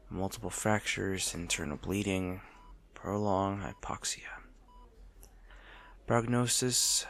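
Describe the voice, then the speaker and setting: A man playing a brooding supervillain bitterly attached to his rival, in a hushed, theatrical audio roleplay monologue with deliberate pauses. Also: deep voice, vocal fry, full character voice. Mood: somber, restrained. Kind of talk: dramatic audio roleplay monologue, addressed to the listener.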